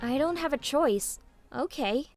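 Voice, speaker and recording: A cheeky young female office junior, played by a woman, sure of herself and acted in manga dub style. Her voice sits high. Faint music runs underneath. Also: animated, unhurried, a little mellow. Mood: smug. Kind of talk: English manga dub acting.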